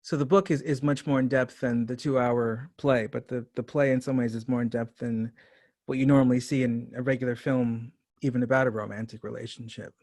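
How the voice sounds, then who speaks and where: A man's voice: medium pitch, steady tone, a little fast, a little mellow, dry recording. A man conducting an interview in a conversational way, as a writer and director would.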